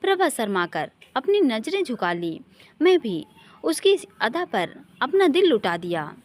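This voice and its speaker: A woman's voice: fairly high pitch, sing-song, measured pace. A woman narrating an emotional story from a script, as a storyteller.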